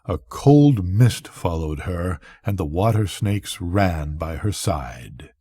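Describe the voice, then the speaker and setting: An older man reading a bedtime story as a grandfather, with measured, deliberate phrasing and pauses. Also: sits low, expressive, mellow, husky, no echo, clean studio sound.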